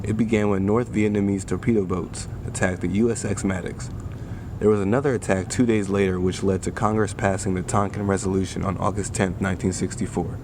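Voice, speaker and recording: A man's voice: steady tone, a little fast. A man reading scripted newsreel narration as the narrator. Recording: rumbling, clearly noisy background.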